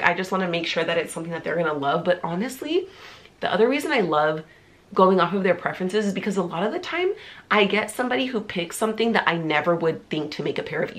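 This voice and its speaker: A woman's voice: lowish voice, a bit bright. A woman in her thirties chatting to camera in a casual vlog, bubbly and talkative, her voice nasal and congested from a cold. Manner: bubbly, talkative, lots of "like" fillers. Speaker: vlogger. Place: small indoor room, seated before a pink wall.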